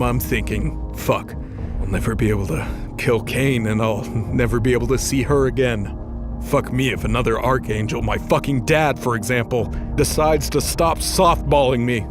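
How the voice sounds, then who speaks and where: A man acts out a wounded god in a mythological audio drama, sullen and needling. He pleads and curses with bitterness in a male voice, with music playing clearly behind him. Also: mid-range voice, lightly acted.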